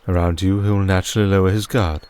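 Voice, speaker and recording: A man playing a cold vampire archduke and crime lord in an ASMR roleplay, speaking in a measured, formal, condescending way. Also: deep voice, fairly even intonation, a little mellow, smooth, natural voice. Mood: calculating, commanding. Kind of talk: ASMR roleplay audio drama.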